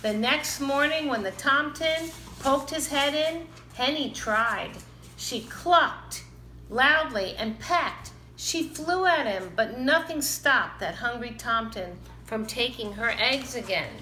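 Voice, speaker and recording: A woman reading a children's picture book aloud in an animated, expressive storytelling manner. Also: sits high, slow pace. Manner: animated, dramatizes characters' lines. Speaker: storybook reader. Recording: roomy, echoing, some background noise.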